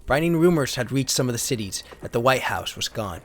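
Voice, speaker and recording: A man's voice: medium pitch, expressive, fast talker, a bit bright. A man reading a retro sci-fi story as a theatrical, solemn narrator. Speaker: host and reader.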